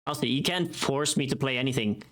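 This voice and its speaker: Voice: medium pitch, animated, a little fast, a bit bright. A livestream host chatting casually while working, informal and sweary, often thinking aloud.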